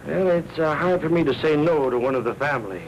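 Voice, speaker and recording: Genial, hesitant newspaper owner. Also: male voice, on the high side, animated, fast talker. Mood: hesitant, coaxing. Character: mild, hesitant older male newspaper owner, doting uncle. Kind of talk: 1940s film acting. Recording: noisy 1940s film soundtrack.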